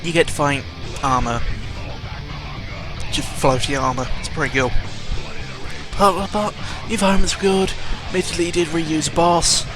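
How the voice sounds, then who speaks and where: A man's voice: on the high side, normal speed. A young man ranting casually about video games, exasperated and full of fillers. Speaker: gaming vlogger. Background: video game music.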